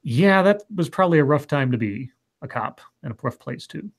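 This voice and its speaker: A man in his late thirties co-hosting a casual online chat show, reading viewer comments aloud with dry, deadpan reactions. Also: a little high, fairly quick. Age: late 30s.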